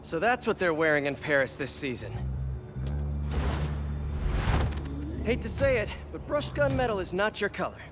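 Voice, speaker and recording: A man's voice: high-pitched, expressive, fairly quick. An actor playing a young, wisecracking male superhero and earnest mentor, in glib conversational video game voice acting. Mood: wry. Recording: loud music behind the voice.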